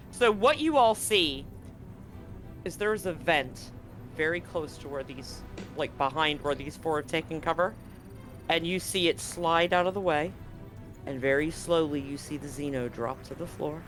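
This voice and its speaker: Higher-voiced man narrating as game master. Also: measured pace, long pauses, a bit bright, faint music behind. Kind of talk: improvised narration of a tabletop roleplaying game. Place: live-streamed game session.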